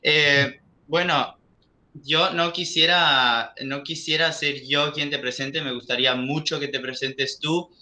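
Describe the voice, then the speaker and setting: A man moderating an online round table, introducing guests in a slow, measured, formal way. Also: a little high, steady tone, bright, forceful tone.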